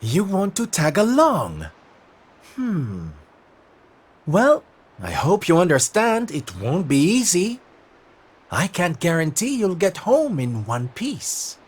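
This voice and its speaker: A man's voice: sits high, huge pitch swings, slow, husky, heavily acted. A man voicing a flamboyant, theatrical male jester performer in a game cutscene, drawling and calling everyone darlings. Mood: teasing. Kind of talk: video game cutscene voice acting. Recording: game music behind.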